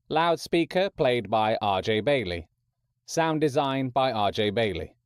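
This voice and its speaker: A man's voice: medium pitch, darkish tone, no room sound, clean studio sound. A man presenting a podcast, reading out scripted production credits.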